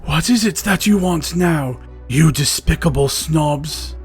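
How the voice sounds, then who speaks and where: A woman voicing a grumpy, scornful old male headmaster in a loud, slow, gruff scolding manner. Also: deep voice, soft background music. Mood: contemptuous.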